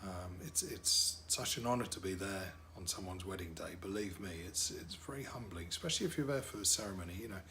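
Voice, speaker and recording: A man's voice: low voice, brisk, hardly any pauses, bright, forceful tone, a little hiss or hum. A middle-aged man, a wedding DJ and MC whose first language is French, talking casually about his work with lots of fillers and repeated words.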